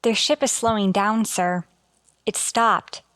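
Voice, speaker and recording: A dutiful female starship officer in a retro sci-fi radio play. She reports formally and earnestly in a medium-pitched voice, recorded close and dry. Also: steady tone, slow pace, fairly bright tone, dry recording. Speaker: actor. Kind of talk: golden age sci-fi radio drama acting.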